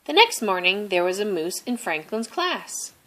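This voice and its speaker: A woman reading a children's story aloud expressively, deliberately stressing phrases. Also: medium pitch, big pitch swings, fairly bright tone. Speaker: storybook reader.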